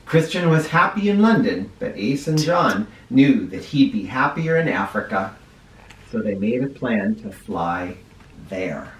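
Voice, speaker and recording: A man's voice: medium pitch, measured pace, a little hiss or hum. A man who teaches first grade, reading a children's picture book aloud and stopping now and then to explain words to young listeners. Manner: expressive, stops to explain words to kids. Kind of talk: children's read-aloud with asides. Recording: roomy echo, mic at a distance.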